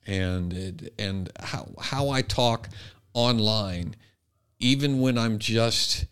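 A man's voice: on the low side, slow pace, fairly bright tone, clear, resonant tone. A man co-hosting a conversational podcast, thinking aloud and repeating phrases for emphasis. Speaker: podcast co-host. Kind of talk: conversational commentary.

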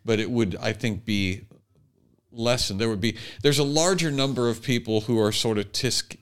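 Wry man chatting casually, medium pitch. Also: moderate pace, smooth. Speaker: podcast co-host. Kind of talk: casual conversational chat.

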